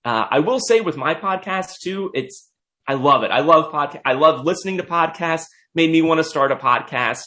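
A hoarse young man hosting a podcast, speaking casually and hesitantly. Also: on the high side, animated, moderate pace, sharp tone. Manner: hesitant, lots of uh fillers. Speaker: podcast host.

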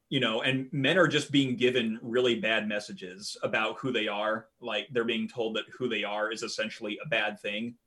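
A man's voice: medium pitch, a bit bright. Earnest, talkative young man. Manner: earnest and talkative, with many fillers. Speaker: podcast co-host, guest. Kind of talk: casual interview talk.

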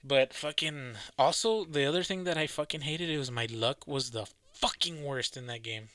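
A man in relaxed gaming banter, cursing casually and laughing easily. His voice is very bright and sits close on a dry mic. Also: a little high, moderate pace, no echo. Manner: animated, swears a lot, laughs often.

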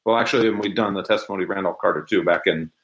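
A man's voice: low voice, very fast, a bit bright. A middle-aged man speaking as an interview guest, telling a story casually.

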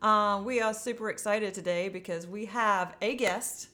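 A woman's voice: mid-range voice, normal speed, sharp tone. A woman in her forties co-hosting a podcast, bubbly and rambling, with lots of ums and likes. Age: 40s. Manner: bubbly, rambling, many fillers. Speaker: podcast co-host. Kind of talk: casual podcast chat.

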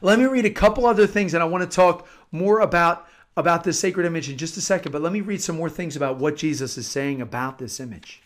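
High-voiced American man preaching earnestly. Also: fast, hardly any pauses. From United States. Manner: earnest, emphatic, repeats phrases for emphasis. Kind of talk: informal devotional talk with scripture-like readings.

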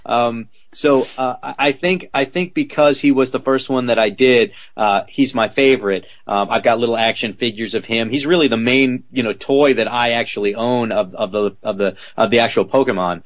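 A man who works as a voice actor, answering interview questions in a lively way and slipping into character voices as he tells stories. His voice is slightly deep. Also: normal speed, few pauses, lo-fi sound. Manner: animated, drops into character voices while telling stories.

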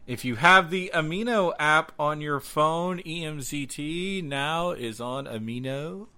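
Bright-voiced man co-hosting a podcast. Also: a little high, unhurried. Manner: irreverent, joking. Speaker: podcast co-host. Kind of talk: casual banter.

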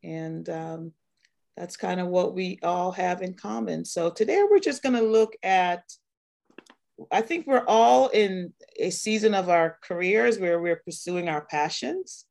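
A woman from Guyana in her fifties hosts a panel talk in a low, gravelly voice. She speaks very slowly and warmly, with small hesitant restarts as she introduces her guests. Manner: unhurried, friendly, a little hesitant with small restarts. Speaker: host. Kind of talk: hosting a panel conversation.

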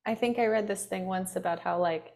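Gravelly-voiced woman host chatting softly. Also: mid-range voice, brisk. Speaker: podcast host. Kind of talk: casual conversation.

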